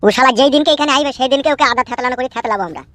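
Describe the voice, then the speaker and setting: Pompous high-voiced village politician played by a woman. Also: rapid-fire, fairly bright tone, no echo. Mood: lecturing. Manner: pompous, lecturing, dismissive. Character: self-important, evasive village politician, male. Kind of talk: comic village cartoon dialogue.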